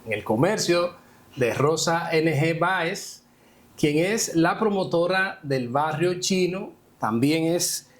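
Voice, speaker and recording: A man with a Dominican accent leads an informal interview. His voice is fairly high for a man, and he speaks haltingly, feeling his way through sentences with small confirmation tags. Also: lively intonation.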